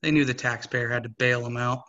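A man's voice: mid-range voice, a little fast, lightly acted. Man reading a weary, resigned investor. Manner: quiet, flat, resigned. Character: weary, disillusioned male investor. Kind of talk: amateur table read. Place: small home room. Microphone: webcam on a video call. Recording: slightly muffled webcam audio.

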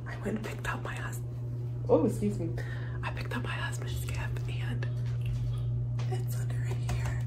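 A woman in her thirties chatting casually to her viewers as she unpacks groceries in her kitchen, upbeat and thinking aloud. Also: on the high side. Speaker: vlogger. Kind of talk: casual vlog chat. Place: small home kitchen, indoors. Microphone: phone camera set on counter, moving about. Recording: echoey room, far from the mic, loud background hum.

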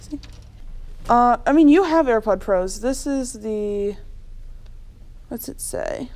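A woman's voice: on the high side, expressive, slow, darkish tone. A young adult woman chatting casually while browsing items. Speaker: vlogger browsing an auction preview. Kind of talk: casual chat. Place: large bare indoor hall. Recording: noisy room ambience, action-camera sound.

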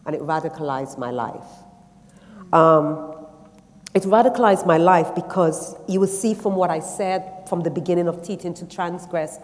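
A woman from Guyana talks on a festival panel before an audience, thoughtful and halting, with frequent ums and restarts. Her voice sits low. The theatre's long reverb trails behind each phrase. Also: darkish tone. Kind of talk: personal reflection and reading aloud on a stage panel. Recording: long reverb of a large hall.

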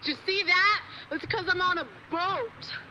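A woman's voice: extremely high pitch, normal speed, sharp tone, lo-fi sound, slight background noise, exaggerated voice. A woman playing a smug, easygoing beachcomber calling in to a radio show in a video game. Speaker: radio caller.

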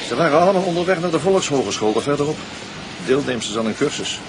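A man's voice: a little high, animated, fast talker, noisy background. A man with a Netherlands Dutch accent playing a weary, matter-of-fact police detective in a radio drama, with clipped questions. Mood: grave, businesslike. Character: weary, sleepless male police detective investigating murders. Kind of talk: naturalistic radio drama acting.

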